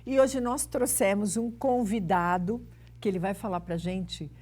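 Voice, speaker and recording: A woman from São presents a talk show in a medium-pitched voice. She speaks informally and loosely, drifting through asides. Her questions are chatty and use familiar terms of address. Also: a little mellow.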